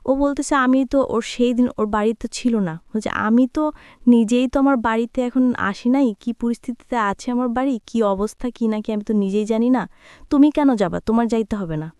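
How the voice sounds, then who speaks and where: A woman's voice: a little high, brisk, a little mellow, clean tone, no room sound. A young woman with a Bangladeshi accent telling her own life story conversationally, relaying remembered dialogue as she goes. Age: young adult.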